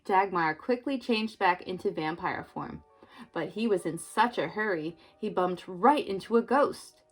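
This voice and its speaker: A young woman and mother reading a children's book aloud and then chatting gently with her child about it. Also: on the low side, unhurried, husky, faint music behind. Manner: gentle, expressive, talks to the child.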